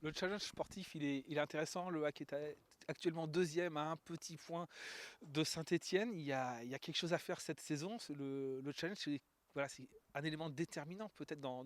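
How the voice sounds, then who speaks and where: A man's voice: fairly high pitch, steady tone, fairly quick. A man interviewing a new player for a club's media channel, framing long, leading questions. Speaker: club interviewer.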